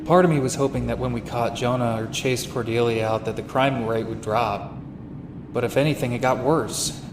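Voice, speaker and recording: A man's voice: medium pitch, fairly even intonation, normal speed, roomy sound, background noise, close to an everyday voice. A man playing an earnest, weary young amateur detective in a scripted noir audio drama, subdued and reflective. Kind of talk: scripted first-person noir narration and dialogue.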